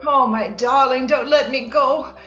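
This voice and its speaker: Actress as fevered young lady, dreamy romantic radio acting, very high voice, echoey dull recording. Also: measured pace, fairly bright tone. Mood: yearning, sorrowful. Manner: dreamy, yearning, theatrical. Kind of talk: old-time radio drama acting. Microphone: recorded remotely over video call. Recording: echoey, dull video-call sound, music underneath.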